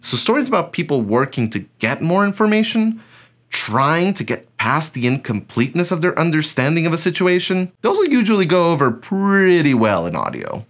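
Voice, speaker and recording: Animated man teaching a lesson. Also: fairly high pitch, normal speed. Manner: conversational, with rhetorical questions and acted-out examples. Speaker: instructor of an online audio drama course. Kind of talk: scripted instructional narration.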